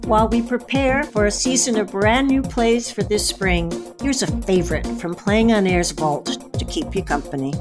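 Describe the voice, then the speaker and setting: A woman reads a scripted podcast introduction as the host. Her pitch is mid-range for a woman, and music plays clearly behind her.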